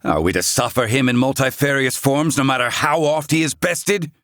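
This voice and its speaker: A man's voice: mid-range voice, normal speed, a bit bright, dry recording, clean studio sound, close to an everyday voice. A man playing an erudite, haughty yet curious scholar in a video game, speaking in a formal, eloquent way with archaic wording. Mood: indignant.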